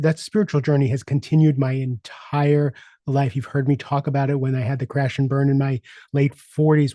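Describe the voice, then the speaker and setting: A man's voice: mid-range voice, warm tone, no echo, clean studio sound. A man in his sixties hosting a solo podcast, talking reflectively, earnestly and candidly as a coach. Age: 60s. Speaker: podcast host and leadership coach.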